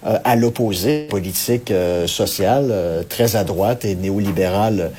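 Low-voiced Québécois man, analytical. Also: moderate pace, warm tone, slight background noise. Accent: Québécois French. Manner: earnest, analytical, hesitant with many fillers. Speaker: political commentator. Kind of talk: radio commentary segment.

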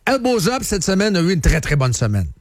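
A man's voice: high-pitched, very animated, brisk, no room sound. Quebec man hosting talk radio, close and dry. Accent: Quebec French. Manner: colloquial, peppered with English words, repeats phrases for emphasis. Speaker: radio host. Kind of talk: opinionated casual current affairs chat.

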